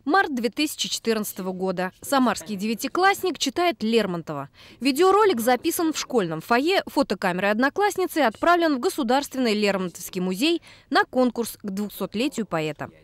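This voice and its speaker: Woman reporter, scripted voice-over, lively melody, slightly high. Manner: measured, expressive voice-over. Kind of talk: scripted narration.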